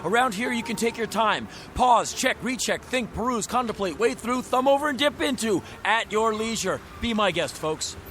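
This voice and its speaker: A man's voice: sits high, expressive, normal speed, hardly any pauses, fairly bright tone, slight background noise. An actor playing a glib, pushy used-car salesman in a radio drama, with florid, bombastic patter. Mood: breezy, welcoming.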